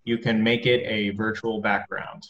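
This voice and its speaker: A man from southern Thailand chatting casually on a video call, speaking slowly and often repeating words. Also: on the low side, little pitch movement, fairly bright tone, muffled recording, a little hiss or hum. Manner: slow, halting, repeats words. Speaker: participant in a video call chat. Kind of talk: casual chat.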